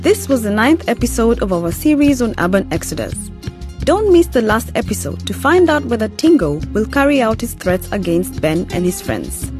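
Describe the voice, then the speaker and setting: A woman's voice: fairly high pitch, big pitch swings, normal speed. Female radio presenter, scripted outro, varied intonation, music behind.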